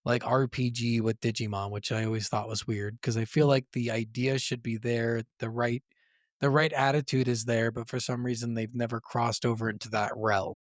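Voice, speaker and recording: A man's voice: medium pitch, level tone, normal speed, no room sound, clean studio sound. Enthusiastic, sweary man chatting about games. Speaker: podcast co-host. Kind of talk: casual gaming podcast chat.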